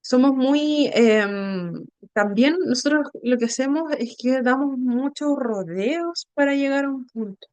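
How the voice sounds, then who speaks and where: Woman, Chilean accent, slightly high pitch, dry recording, informal class lecture, halting delivery, frequent tag questions. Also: darkish tone, no room sound, dull audio. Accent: Chilean Spanish. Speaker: instructor of an inclusive education class.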